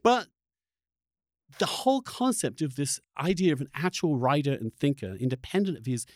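Impassioned, halting man, lively swooping pitch. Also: no echo, clean studio sound. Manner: halting, with repeated words and restarts when worked up; earnest, emphatic. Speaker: podcast host interviewing a guest. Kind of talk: impassioned conversational interview.

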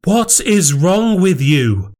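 A man's voice: medium pitch, fairly even intonation, unhurried, natural voice. A man voicing an indignant, sincere wife in audiobook dialogue, exasperated and defensive.

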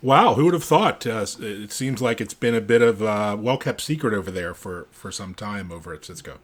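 A man's voice: lowish voice, lively intonation, moderate pace. A man co-hosting a podcast in casual banter, with a wry, joking manner. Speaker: podcast co-host.

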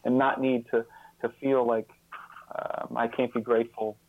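Halting man of fifty, muffled phone-like sound. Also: mid-range voice, level tone, slight background noise. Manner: halting, repeats words, thoughtful and earnest. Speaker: interview guest, author. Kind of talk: reflective interview conversation.

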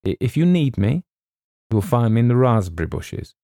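A man's voice: medium pitch, sing-song, normal speed, mellow, no echo, clean studio sound, voice pushed up. A man voicing a bluff, sentimental old English colonel in an audiobook, hesitant and repeating himself as he nobly nurses a broken heart. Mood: wistful, resigned. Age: older. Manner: hesitant, repeats himself, stumbles over names. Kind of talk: theatrical audiobook character voice.

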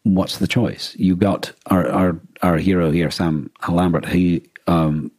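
Man, very low pitch, dry sound, casual podcast discussion, halting, explanatory. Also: level tone, darkish tone, dry recording. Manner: halting, thinking aloud, explanatory. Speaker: podcast co-host. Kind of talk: casual discussion of a radio drama.

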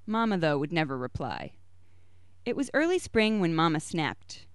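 Smooth-voiced woman reading a short story. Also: low voice, sing-song, normal speed, no echo, clean studio sound. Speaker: story reader.

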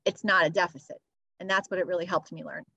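A woman's voice: lowish voice, steady tone, fast talker, gravelly, bright, forceful tone, no room sound. A woman teacher talking in an earnest, upbeat way as a podcast guest, with many "you know" fillers. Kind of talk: podcast interview. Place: near Philadelphia.

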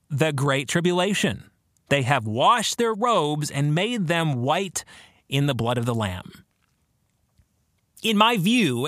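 A man hosting a podcast, explaining theology in an informal monologue with a halting, thoughtful delivery and emphasis on key words. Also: on the high side, a bit bright, no room sound, clean studio sound.

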